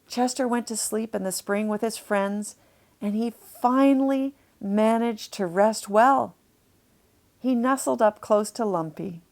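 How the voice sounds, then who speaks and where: A woman reads a storybook for children. Her voice sits fairly high, and she takes her time, shaping phrases expressively and lingering on key words. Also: creaky, a little mellow. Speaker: author reading a children's story.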